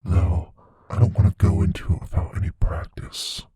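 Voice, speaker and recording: An actor voicing a playful, possessive, menacing shape-shifting monster in an intimate audio roleplay, musing slowly in a husky, breathy near-whisper. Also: male voice, dark tone, dry recording, natural voice. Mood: predatory, playful. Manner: slow, musing, savoring pauses.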